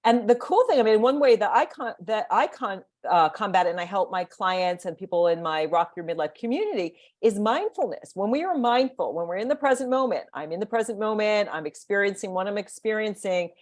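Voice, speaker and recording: A woman's voice: medium pitch, expressive, a little fast, few pauses. Enthusiastic woman interview host. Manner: enthusiastic, upbeat, chatty. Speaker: host, midlife coach. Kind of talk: conversational interview.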